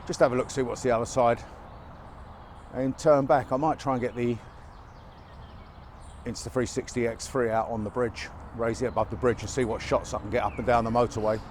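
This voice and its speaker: A man's voice: medium pitch, steady tone, fairly quick, a little mellow. A chatty man narrates his walk outdoors in a mid-range voice, wry and a little out of breath. He sounds slightly chesty from a lingering cold, picked up on a mic clipped to his chest. Faint motorway noise hums behind him. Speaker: walking vlogger. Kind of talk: casual walk-and-talk narration. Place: outdoors by a busy motorway in winter fields. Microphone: chest-worn mic.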